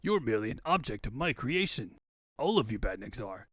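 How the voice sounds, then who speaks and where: A man's voice: mid-range voice, big pitch swings, fairly quick, fairly bright tone, dry recording, studio quality, voice pushed down. A man voicing a grandiose, theatrical villain scientist in a comic book fandub, easily exasperated. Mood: brooding.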